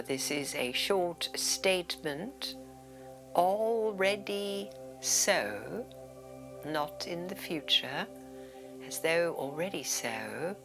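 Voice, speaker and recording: A woman with a low voice guides a meditation at an unhurried pace. Her intonation rises and falls widely, and her phrases often trail into a soothing, breathy whisper. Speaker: yoga nidra meditation guide.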